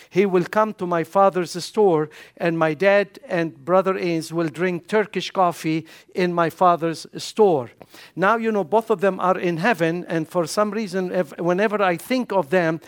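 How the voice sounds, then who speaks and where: An older man with a Lebanese accent, a missionary telling his testimony to a church congregation, unhurried and full of pauses. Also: high voice. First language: Arabic. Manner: unhurried storytelling with frequent uh fillers.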